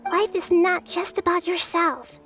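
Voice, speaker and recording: Game role: a wise little girl goddess, high-pitched, earnest and gentle, measured delivery, music underneath. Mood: earnest, encouraging. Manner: measured, thoughtful, articulate, soft-spoken. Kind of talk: scripted video game dialogue.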